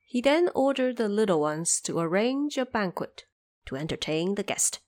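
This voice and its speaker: A woman reads a fantasy tale as its narrator. Her pitch sits in a middle range and moves with lively, animated swings in a scripted storytelling style. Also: moderate pace.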